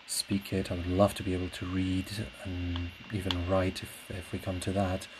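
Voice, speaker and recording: A man's voice: low-pitched, normal speed, music in the background, rough recording. A man whose native language is Portuguese, speaking in a casual solo podcast ramble, hesitant and reflective as he searches for words. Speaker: podcast host; classical singer. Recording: clear steady background noise.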